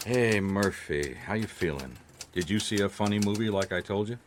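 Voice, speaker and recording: A noisy radio drama track carries a man playing a hard-boiled American detective. The voice is low and dry, world-weary and offhand. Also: expressive, normal speed, lightly acted. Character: world-weary, wry male private detective. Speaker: actor in a radio drama, also narrating. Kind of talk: noir radio drama narration and dialogue.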